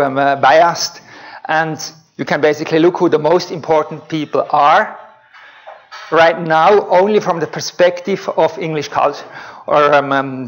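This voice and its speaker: Swiss-German-accented man giving a lecture. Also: a little high. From Switzerland. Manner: halting, frequent filler pauses. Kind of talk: academic lecture. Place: lecture hall.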